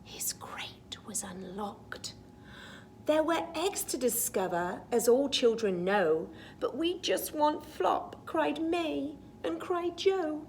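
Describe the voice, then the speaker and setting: A woman storytime teacher reading a picture book aloud to young children, expressive and sing-song, with chatty asides. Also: high-pitched, slow, a little hiss or hum.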